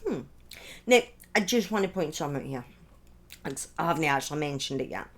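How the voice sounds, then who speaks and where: Woman, highly animated pitch, conversational true-crime storyteller, halting phrasing, opinionated informal asides.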